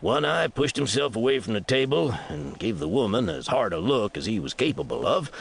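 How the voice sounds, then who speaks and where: A man's voice: mid-range voice, expressive, a little fast, creaky. A man with an American accent narrating a scripted western novel as an audiobook.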